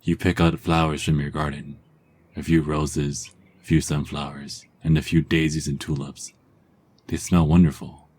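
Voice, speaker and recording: A man narrating a second-person ASMR story in a calm, soft-spoken, husky voice. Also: a bass voice, normal speed, a little hiss or hum.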